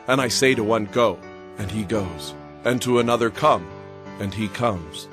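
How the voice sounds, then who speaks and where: An earnest Roman centurion in an audio Bible drama. He has a lowish voice and speaks humbly as a military man, with faint music underneath. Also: unhurried, a bit bright. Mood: humble, earnest.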